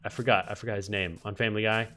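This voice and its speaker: A man's voice: lowish voice, flat delivery, very fast, pushed and bright, faint music behind. Slightly nasal man chatting over gameplay. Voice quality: somewhat nasal voice. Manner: chatty, thinks aloud while playing, frequent ums and restarts. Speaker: Minecraft let's-play host. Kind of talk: casual gameplay commentary.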